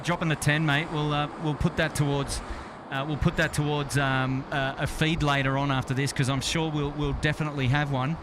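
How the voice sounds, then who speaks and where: Aussie bloke doing live plane spotting chat, highish voice, chummy, traffic and outside noise behind him. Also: male voice, level tone, a little fast, few pauses. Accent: Australian. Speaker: plane spotting livestream host. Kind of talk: casual live commentary and banter with chat. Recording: loud outdoor vehicle and ambient noise.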